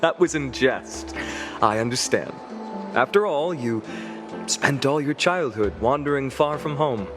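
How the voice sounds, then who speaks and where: A man's voice: medium pitch, animated, measured pace, lightly acted. A man voicing an earnest young male hero who carries old grief, in a video game. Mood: teasing, friendly. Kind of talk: video game story dialogue. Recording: music behind the voice.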